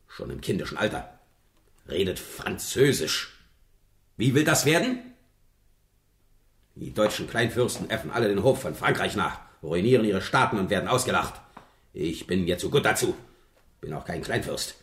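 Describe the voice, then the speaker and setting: A man's voice: low voice, very animated, moderate pace, pauses often, a bit bright, roomy sound, a little hiss or hum, full character voice. An actor playing a blustering, vain, middle-aged king in a German radio play, imperious and volatile, swinging between muttering and outbursts.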